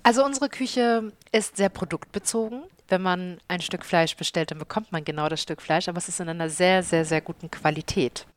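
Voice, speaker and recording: A woman in her thirties with a Hamburg accent, a chef and restaurant owner answering interview questions with lively enthusiasm. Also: fairly low pitch, fairly quick, few pauses, no echo. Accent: Hamburg German. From Hamburg, Germany.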